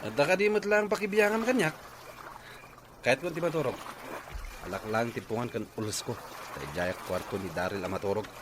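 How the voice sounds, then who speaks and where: An actor with a Filipino Ilocano accent playing a sullen, work-shy, complaining husband in a radio drama. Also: male voice, mid-range voice, brisk, long pauses, lightly acted. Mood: bitter, heated. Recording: noisy radio drama recording.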